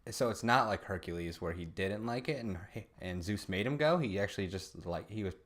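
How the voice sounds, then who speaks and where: A man's voice: lowish voice, lively intonation, a little fast, a bit bright. A man co-hosting a podcast, tossing in casual, wisecracking comedic banter. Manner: wisecracking, interjecting. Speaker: podcast co-host.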